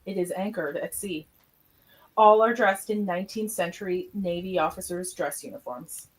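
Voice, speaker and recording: A woman's voice: fairly low pitch, normal speed, rough recording. A woman reading scripted stage directions as the narrator of an amateur radio play.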